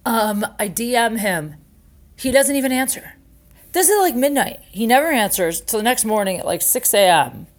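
A woman's voice: a little high, expressive, normal speed. A woman podcast host, likely in her thirties, talking in a candid, chatty, self-mocking way and peppering her speech with "like" and "um.". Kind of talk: casual storytelling monologue with ad reads.

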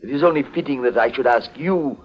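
A man's voice: medium pitch, brisk, close to an everyday voice. Guy playing a nervous polite foreign merchant in an old radio mystery, formal, hesitant, muffled 40s sound. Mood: hesitant, pleading. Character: polite, anxious foreign exporter of African curios. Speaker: radio actor. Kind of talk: 1940s radio detective drama acting. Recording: dull old 1940s radio broadcast.

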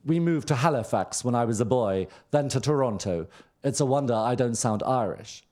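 A man's voice: medium pitch, animated, normal speed, dark tone, lightly acted. A man voicing a vain, self-assured, burly young emigrant in a novel reading, speaking tersely.

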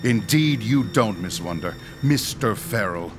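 Dignified, low-voiced paranormal doctor. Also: male voice, lively intonation. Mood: stiffly indignant. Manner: formal, precise, measured. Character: dignified, pedantic male ghost-hunting doctor and team leader. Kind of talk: radio drama acting. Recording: music behind the voice.

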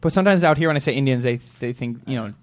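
Fast-talking man telling bar stories. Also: mid-range voice, animated. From Montana. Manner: rambling, laughs through his stories. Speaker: show host. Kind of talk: casual storytelling chat.

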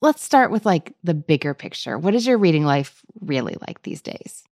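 Low-voiced woman podcast host. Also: big pitch swings, moderate pace, darkish tone, no room sound, studio quality. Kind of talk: casual interview chat.

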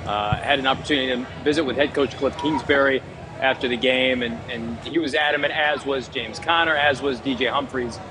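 A man with a mid-range voice gives a brisk, measured postgame report from a stadium tunnel. Loud crowd and stadium noise fills the background clearly. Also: medium pitch. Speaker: field reporter.

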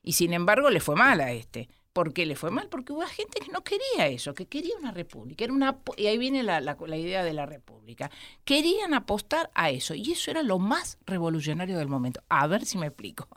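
Argentine woman historian, low voice, thoughtful, pauses often; dry studio sound. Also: wildly swooping pitch, fairly bright tone, dry recording. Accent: Argentine Spanish. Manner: reflective, explains at length with many pauses. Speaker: historian guest. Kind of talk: studio interview.

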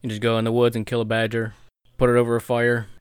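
A man's voice: mid-range voice, completely flat delivery, fast talker, clean tone, dry recording, clean studio sound. A man co-hosting a podcast in a casual chat, cracking wry jokes. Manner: joking, wry asides. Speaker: podcast co-host.

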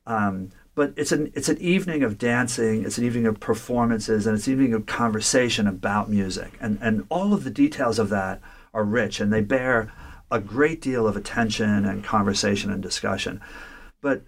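A man's voice: on the low side, normal speed. A man discussing a story in a thoughtful, lecture-like conversation, often restarting phrases and checking in with "right?". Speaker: literature scholar discussing a story.

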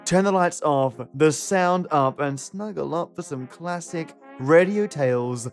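A man hosting with a theatrical, dramatic scripted introduction and suspenseful pauses. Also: a little high. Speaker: podcast host introducing old-time radio shows. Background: music.

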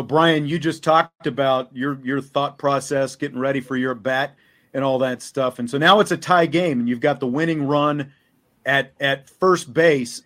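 A man's voice: medium pitch, fairly bright tone. A man speaking as a sports show host, asking questions in a conversational, unscripted way.

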